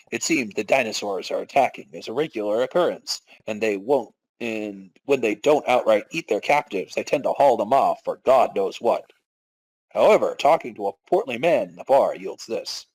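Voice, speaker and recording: A man's voice: on the low side, animated, moderate pace, darkish tone, rough recording. A man hosting and narrating a comic dramatic reading of a game story. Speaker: podcast host and narrator.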